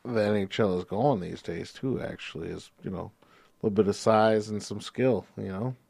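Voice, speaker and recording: A man's voice: on the low side, moderate pace, vocal fry, darkish tone, husky, no echo. Easygoing guy on a sports podcast, lots of "you know", no room sound. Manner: agreeable, many you-knows and restarts. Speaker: podcast co-host. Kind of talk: casual sports chat.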